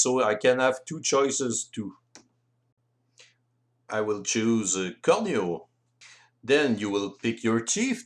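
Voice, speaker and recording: French-accented man with lively, swooping intonation. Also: mid-range voice. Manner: deliberate, careful non-native English. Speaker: board game rules presenter. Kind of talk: instructional voice-over narration.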